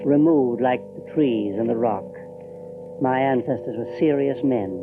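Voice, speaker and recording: Casting: male actor as ominous storyteller haunted by his forefathers, mid-pitched, deliberate dramatic narration, music underneath. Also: close to an everyday voice. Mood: brooding. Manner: deliberate, repeating phrases, ominous. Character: brooding New England descendant recounting his grim ancestry. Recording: slightly muffled, music underneath.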